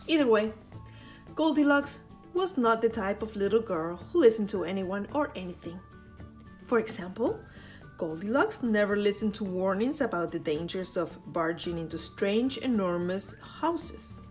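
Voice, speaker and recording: A woman's voice: medium pitch, animated, normal speed, darkish tone, soft background music. A woman reading a children's picture book aloud in a playful way, with teasing asides to the listener. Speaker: storyteller.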